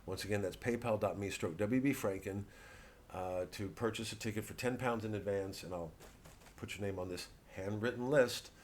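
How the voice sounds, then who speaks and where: A male comedian delivering a rambling, halting, sweary satirical monologue full of digressions. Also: lowish voice, slight background noise. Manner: acts out mock quotes and voices.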